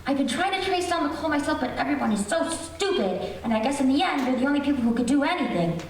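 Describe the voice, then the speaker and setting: A woman's voice: high voice, lively intonation, fast talker. A woman playing an anxious, demanding invalid wife on the telephone in an old-time radio drama, imperious and fussy. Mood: agitated, insistent. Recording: distant echoey hall, faint noise.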